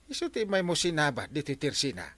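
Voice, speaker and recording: A scolding yet easily placated village captain in an Ilocano radio comedy. His slightly high voice swings widely in pitch as he blusters. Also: wildly swooping pitch, fast, fairly bright tone, no echo, full character voice. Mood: jovial.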